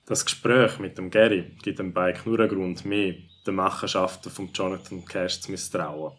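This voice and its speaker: A man's voice: low voice, fairly even intonation, moderate pace, fairly bright tone. A man with a Swiss accent narrating a scripted children's story.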